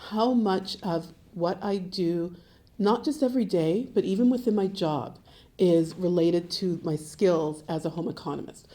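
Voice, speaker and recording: Mellow lowish woman, emphatic. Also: unhurried, slight background noise. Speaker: home economist. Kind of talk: interview answer.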